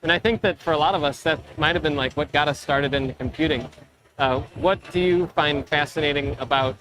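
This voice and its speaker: A man's voice: a little high, brisk, background noise. A man co-hosting a casual livestream interview, chatty and enthusiastic, with frequent restarts. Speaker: livestream co-host interviewing creators. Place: busy expo floor.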